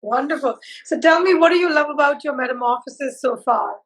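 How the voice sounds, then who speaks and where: High-pitched woman interviewer, warmly effusive, leading a live chat. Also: fairly quick. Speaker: host. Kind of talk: conversational interview over live video.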